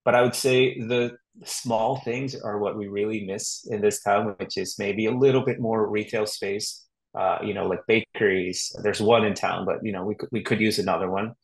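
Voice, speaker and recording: A middle-aged man from Honduras talks casually as an interview guest. His voice sits a little low, and he rambles easily, with plenty of fillers and restarts. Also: fairly even intonation, normal speed, rough recording. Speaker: real estate agent guest.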